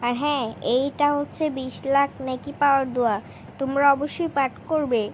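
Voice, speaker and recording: A woman's voice: high voice, flat delivery, a little fast, muffled recording, a little hiss or hum. A woman presenting a children's cartoon channel, speaking casually and directly to her viewers.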